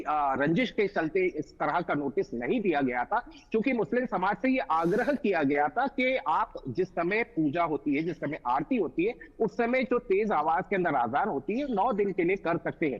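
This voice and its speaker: High-pitched male news correspondent, halting delivery. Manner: halting, wordy, formal phrasing. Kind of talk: live unscripted news report. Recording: dull lo-fi remote link.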